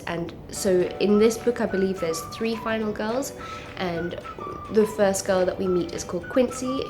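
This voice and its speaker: A woman with a mixed South African and English accent, stuffy and nasal from a cold, chatting enthusiastically about books to camera. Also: lowish voice. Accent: mix of South African and English. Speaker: book vlogger. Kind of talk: casual book chat to camera.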